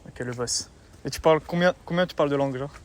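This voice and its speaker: A man's voice: fairly high pitch, fast. A man hosting a casual interview, eager and slangy, recorded on a phone. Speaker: host. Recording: phone recording, faint noise.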